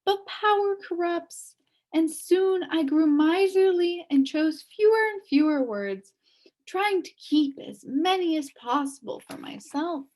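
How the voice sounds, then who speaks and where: A woman's voice: extremely high pitch, animated, slow, a little mellow, pitched above the performer's own voice, full character voice, low-quality recording. A woman voicing a sad, wistful elderly woman recounting her downfall in a storybook. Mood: proud, reflective. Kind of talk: storybook character reading.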